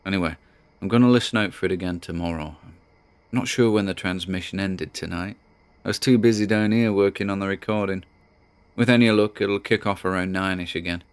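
A low-pitched British actor plays an obsessive amateur sleuth keeping an audio log. He is chatty and wry, often interrupting himself as he talks through his findings in this audio drama. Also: fairly quick, clear, resonant tone, close to an everyday voice. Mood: eager, obsessive. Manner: informal, self-interrupting, wry. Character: obsessive, chatty amateur investigator grandson named Nigel.

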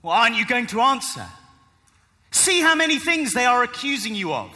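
Man acting a loud, high-pitched, exasperated Roman governor. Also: very animated, normal speed, full character voice. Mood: demanding. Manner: loud, declamatory, insistent. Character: commanding, exasperated male Roman governor questioning. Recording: echoing hall with loud music.

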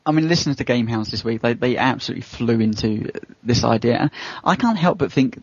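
A man's voice: medium pitch, expressive, fast, breathy edge, no echo. A man hosting a casual gaming podcast, rambling through his opinions in a conversational way. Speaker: podcast host. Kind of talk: casual panel chat about gaming. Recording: dull lo-fi podcast audio.

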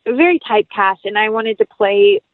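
A woman chats casually as an interview guest, heard through dull, lo-fi audio with little room sound. Her pitch is middling for a woman. She rambles in a talkative, self-deprecating way. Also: lively intonation, a little fast, no echo. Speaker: actress, producer and interview guest. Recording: dull, lo-fi, band-limited audio.

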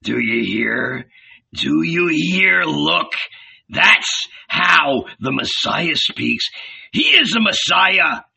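A man doing a gruff growling red-bearded man. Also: medium pitch, animated, very slow, bright, forceful tone, recorded from a distance, slight background noise, heavily acted. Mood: urgent. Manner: growling, urgent, insistent. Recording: dull lo-fi audiobook recording.

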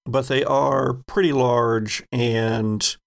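A man with a gravelly voice narrating a tabletop roleplaying game as game master, descriptive and deliberate. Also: mid-range voice, fairly even intonation. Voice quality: gravelly, creaky.

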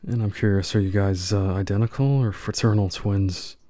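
A man hosting a podcast, asking questions in a slow, measured way with frequent fillers. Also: fairly low pitch, fairly even intonation, mellow, no room sound, studio quality. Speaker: podcast host. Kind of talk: podcast interview.

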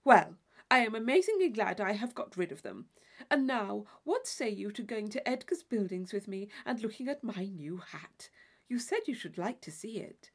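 A woman's voice: mid-range voice, big pitch swings, normal speed. A woman voicing an affected, gushing young society lady in an audiobook of a classic novel, with theatrical emphasis. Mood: breezy, vain.

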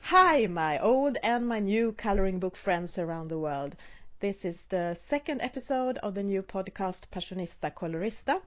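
A woman with a Swedish accent, a native Swedish speaker hosting a casual podcast interview, asking brisk short questions and chatting between them. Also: mid-range voice, expressive, soft background music. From Sweden. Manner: brisk one-word questions, then chatty. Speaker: podcast host. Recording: dull lo-fi sound.

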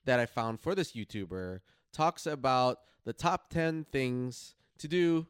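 A man co-hosting a podcast in casual, slangy talk, pausing and rephrasing as he explains his notes. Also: medium pitch, clear, resonant tone, dry recording, clean studio sound. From Philippines or Filipino family. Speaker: podcast co-host. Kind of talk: casual chat, summarizing notes.

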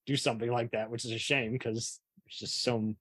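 A man hosts a casual film podcast. His voice is medium in pitch and recorded dry, with little room sound. He talks conversationally. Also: little pitch movement, moderate pace, a bit bright, slightly rough, dry recording. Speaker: podcast host.

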